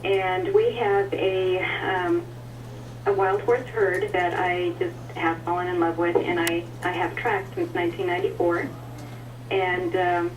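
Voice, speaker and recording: A woman's voice: lowish voice, slight background noise. A woman from the Czech Republic, a guest calling in to a radio show, answering hesitantly with many fillers. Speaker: phone-in guest, horse advocate. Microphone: telephone. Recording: muffled phone line, roomy and distant.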